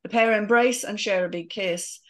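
A woman's voice: medium pitch, moderate pace. A woman steadily reading the scene directions of a screenplay in a matter-of-fact way. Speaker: narrator reading stage directions.

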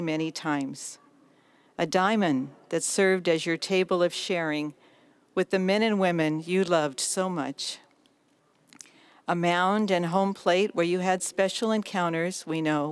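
Older woman, lowish smooth voice, solemn reading, nun leading outdoor memorial prayer. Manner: solemn, measured. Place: outdoors in an empty baseball stadium. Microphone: microphone on a stand.

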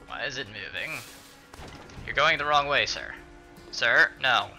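Bright, creaky-voiced man doing game commentary. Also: mid-range voice, expressive, a little slow. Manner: muttering, sweary, talks to game characters. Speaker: gaming video host. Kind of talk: casual let's play commentary. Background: game music.

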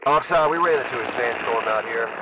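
Brisk field soldier over very noisy radio. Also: male voice, medium pitch. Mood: brisk, alert. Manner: clipped, procedural. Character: brisk, no-nonsense male field soldier in a shooter game. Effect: radio filter. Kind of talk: game voice acting, military radio chatter. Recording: very noisy radio, distant from mic.

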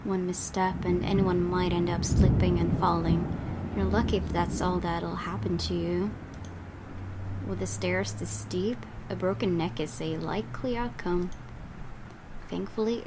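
A woman's voice: on the low side, fairly even intonation, normal speed, heavy background noise. A woman hosting a let's play, reading game narration aloud as dramatic storytelling, with asides. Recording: loud game ambience behind the voice.

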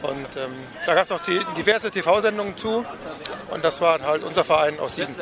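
A man giving an earnest, measured explanation as an activist in an outdoor interview. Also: fairly high pitch. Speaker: animal rights activist being interviewed. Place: outdoors at a demonstration stand. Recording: outdoor street recording with crowd noise.

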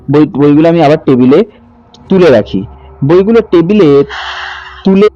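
Guy narrating ghost story, mellow kinda high voice, muffled audio w music behind. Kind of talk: scripted horror story narration. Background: music bed.

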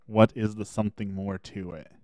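A man streaming a video game, chatting casually and thinking aloud as he plays, his voice a little hoarse. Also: on the low side, fairly even intonation, moderate pace, a little mellow, no echo. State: slightly hoarse. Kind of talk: casual gameplay commentary.

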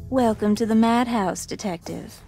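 A woman playing a jaded, flirtatious society lady of the 1920s in a video game, wry and theatrical. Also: on the high side. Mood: wry welcome. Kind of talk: video game cutscene dialogue.

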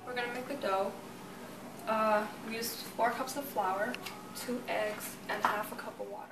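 A woman casually talking through a kitchen recipe, quick and a little hesitant. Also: fairly high pitch, fairly even intonation. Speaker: dog treat team member. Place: kitchen, indoors. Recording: echoey kitchen, distant mic, loud music.

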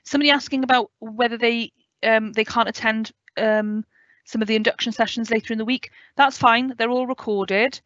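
A woman's voice: on the high side, fairly even intonation, moderate pace, a bit bright, no echo. Welsh woman explaining over a headset. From West Wales. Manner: clear, friendly explaining, checks in with "okay?". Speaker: student adviser. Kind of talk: online orientation talk with questions. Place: home room with a window. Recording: dry, dull video call audio.

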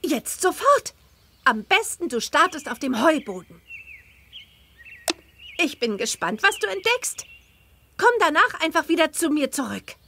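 Perky teen witch girl for a kids game, high n bright, slight noise. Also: very animated, measured pace, vocal fry, long pauses, heavily acted. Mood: perky, inviting. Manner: perky, encouraging. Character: cheerful, eager teenage witch girl, horse lover. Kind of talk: children's game dialogue acting.

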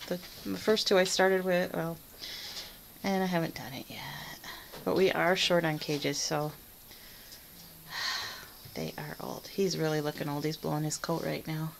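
A woman's voice: low-pitched, level tone, unhurried, creaky, long pauses, slight background noise. A woman from Michigan chatting casually as she films her barn chores, laughing often. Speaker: farm vlogger. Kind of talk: casual narration while doing chores. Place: barn, indoors.

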